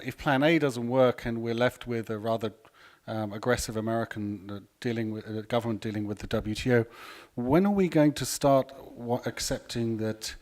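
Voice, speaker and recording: A man who is a university academic, asking a panel a pointed, articulate question with a wry manner. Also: medium pitch. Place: conference room.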